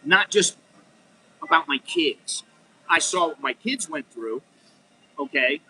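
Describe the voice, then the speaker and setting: A man's voice: on the high side, lively intonation, slow, bright, forceful tone, a little hiss or hum, low-quality recording. A middle-aged American man talking frankly in a rambling, unscripted monologue, full of restarts and "you know.". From United States. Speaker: vlogger.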